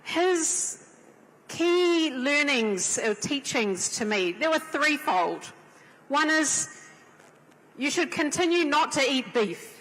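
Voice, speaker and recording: A woman with a New Zealand accent giving an earnest, measured speech as a panel speaker. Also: high-pitched, big pitch swings, a bit bright. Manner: measured, earnest, drawn-out delivery. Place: large conference hall. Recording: long hall reverb.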